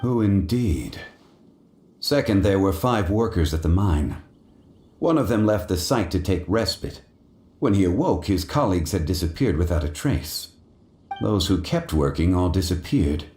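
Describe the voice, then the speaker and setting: A man voicing a calm, analytical, scholarly gentleman in a video game, speaking formally and deliberately. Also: low voice, roomy sound.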